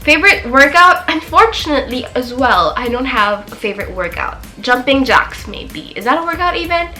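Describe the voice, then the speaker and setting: Young Filipino woman, 20, beauty vlogger; bright, chatty code-switching delivery, soft music underneath. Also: high voice, animated, moderate pace. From Philippines. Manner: chatty, switching between English and Tagalog. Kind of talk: casual Q&A chat. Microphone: camera.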